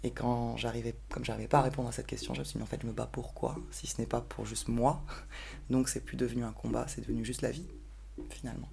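A woman's voice: a bass voice, flat delivery, fast, creaky, background noise. A woman talking casually and personally as a podcast guest. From grew up between Bordeaux, France and New York.

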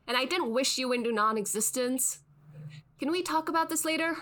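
A woman's voice: high voice, brisk, bright, forceful tone. An actress playing a dry, skeptical podcast host, the exasperated straight woman in scripted comic banter. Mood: exasperated, defensive. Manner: wry, skeptical.

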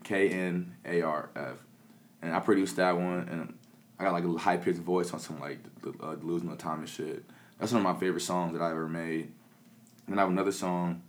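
Young man, rapper, very deep low voice, relaxed, mumbly, lots of fillers. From Peoria, Illinois. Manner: laid-back, mumbly, frequent "like" fillers and swearing. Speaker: rapper and producer. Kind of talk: casual interview chat.

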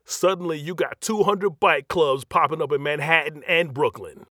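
Brash, wisecracking tough New York narrator. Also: male voice, fairly high pitch, moderate pace, pushed and bright, no room sound, studio quality. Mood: cocky, joking. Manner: cocky, sassy, streetwise. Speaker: voice actor. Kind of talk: comedic scripted narration, character voiceover demo.

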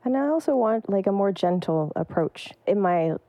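A woman's voice: medium pitch, animated, normal speed, dark tone, dry recording, rough recording. A woman percussionist giving thoughtful, searching answers in an interview. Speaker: percussionist guest.

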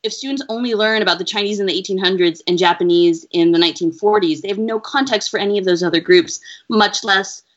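A woman researcher answering interview questions in an earnest, measured, explaining way. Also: mid-range voice. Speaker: education researcher, interview guest. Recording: dull lo-fi audio.

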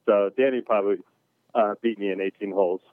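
Man, thirties, guest caller, laid-back, slightly low voice, tinny phone line. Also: fairly even intonation, fast talker, dry recording. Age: 30s.

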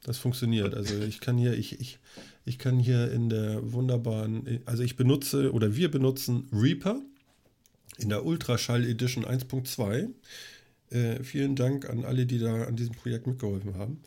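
A man's voice: medium pitch, moderate pace, vocal fry, warm tone. A man hosting a casual tech podcast, chatty and rambling, with a cold that makes him cough. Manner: chatty, rambling with many fillers. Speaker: podcast host. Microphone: studio microphone on a long cable.

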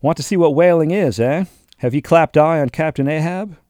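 A man voicing a gruff, suspicious old sea captain who blusters and fires challenging questions in archaic speech. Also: mid-range voice, animated, moderate pace, a little mellow, no echo, studio quality, close to an everyday voice.

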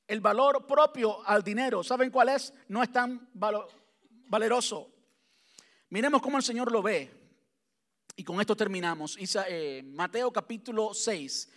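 A man's voice: sits high, fairly bright tone. A man from Cuba with a Cuban Spanish accent, preaching a sermon as pastor and driving his points home with rhetorical questions and repetition.

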